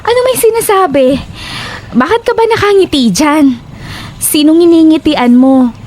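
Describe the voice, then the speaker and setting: Woman playing brash teasing young gal in horror audio drama, very high voice all over the place, slight noise. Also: moderate pace. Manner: brash, mocking, excitable. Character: bold, teasing young woman, pushy best friend.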